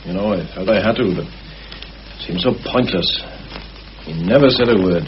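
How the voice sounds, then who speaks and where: An actor playing a regretful, gloomy friend in a vintage radio play, sombre and confiding. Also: male voice, lowish voice, normal speed, lightly acted. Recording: dull, hissy old radio drama recording.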